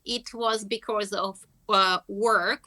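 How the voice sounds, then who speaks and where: A woman talks as an interview guest over a video call. She speaks slowly, in a bright, tense voice. She pauses often and fills the gaps with ums and uhs. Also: mid-range voice, steady tone. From Russia. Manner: hesitant, many fillers, laughs lightly. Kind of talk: online interview.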